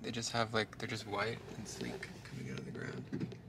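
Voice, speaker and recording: Easygoing young man over loud noise. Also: lowish voice, big pitch swings, moderate pace. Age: young adult. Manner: joking, easygoing. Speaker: travel companion. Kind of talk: casual chat. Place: outdoors at a windy viewpoint and in a car.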